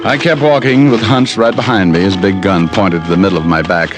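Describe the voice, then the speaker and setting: A man's voice: sits low, brisk, over music, close to an everyday voice. An American man playing a wry, hard-boiled private detective who narrates his own case in an old radio drama. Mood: wry narration. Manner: wry, sardonic, flippant. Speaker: lead actor and narrator. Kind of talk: 1940s radio detective acting, first-person narration.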